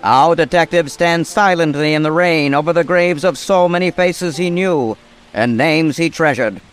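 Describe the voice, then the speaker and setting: An actor narrating a radio drama as a solemn storyteller. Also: male voice, a little high, moderate pace, clear, resonant tone, soft background music, lightly acted.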